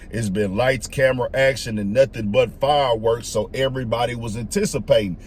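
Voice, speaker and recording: A man's voice: medium pitch, a little hiss or hum. A man giving casual, opinionated sports commentary as a host, slangy and fond of repeated emphatic phrases.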